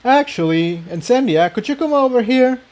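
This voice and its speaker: A man's voice: very high-pitched, animated, normal speed, darkish tone, pitched above the performer's own voice. A man voicing an earnest, practical young male unicorn pony who explains things matter-of-factly in a story. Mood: explaining.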